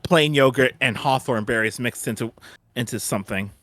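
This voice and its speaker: A man hosting a casual fan chat in a halting way, often repeating phrases as he goes. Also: medium pitch, a bit bright, no room sound. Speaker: host of a fan discussion.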